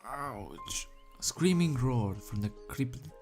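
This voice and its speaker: A man's voice: mid-range voice, expressive, measured pace, warm tone. Man narrating a story over music. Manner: stumbles over words and rereads phrases. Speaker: amateur fan fiction reader. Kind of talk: dramatic scripted story narration.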